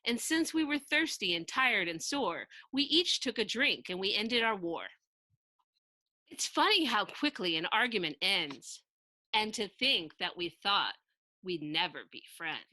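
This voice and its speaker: A woman reading a children's picture book aloud in an expressive, theatrical, sing-song way with emphatic rhyming delivery. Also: mid-range voice, unhurried, dry recording. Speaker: storybook narrator reading aloud.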